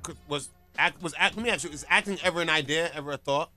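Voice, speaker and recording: A man hosting the show, opening with loud hype and asking laid-back questions. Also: a little high, quick pace, faint music behind. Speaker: host. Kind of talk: hype show intro and interview.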